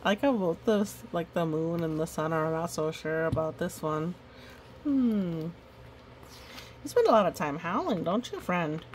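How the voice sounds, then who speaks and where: A woman's voice: fairly low pitch, expressive, a little slow, slight background noise, low-quality recording. A woman reading a picture book aloud with playful, chatty asides to the characters and listeners. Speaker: storytime reader.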